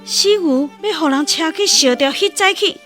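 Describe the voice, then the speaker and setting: A woman's voice: high voice, normal speed, a bit bright, faint music behind. A woman with a Taiwanese accent narrating a scripted story in a radio drama.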